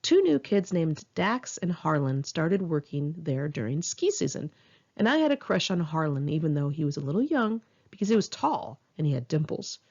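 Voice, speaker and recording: A woman's voice: low voice, sing-song, moderate pace, a little mellow, highs cut off. A woman reading her own memoir aloud in an informal audiobook style, candid, wry and conversational. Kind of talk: informal first-person audiobook narration with asides.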